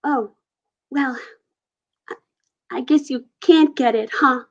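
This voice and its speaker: A woman's voice: sits high, slow, full character voice. A woman playing a serious, wistful little girl in a 1940s-style radio play on a lo-fi stream.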